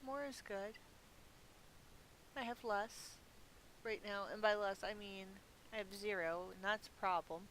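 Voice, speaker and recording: A woman's voice: mid-range voice, steady tone, unhurried, pauses often, fairly bright tone, low-quality recording. A middle-aged woman giving casual, rambling gameplay commentary in a chatty, self-amused way, as if thinking aloud. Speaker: gaming video host. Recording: clearly audible background hiss.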